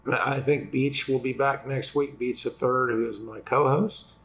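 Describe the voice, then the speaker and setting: A man with a raspy voice, a lawyer hosting a casual explanatory talk, halting with fillers and restarts as he loses his voice. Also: medium pitch, fairly even intonation, a bit bright. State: losing voice after vocal cord injections. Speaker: personal injury lawyer and host.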